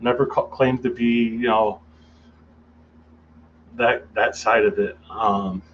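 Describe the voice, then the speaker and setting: A man's voice: on the low side, steady tone, slow pace. A male interview guest talking casually and hesitantly, often stopping to restart his sentences. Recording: dull lo-fi audio with faint noise.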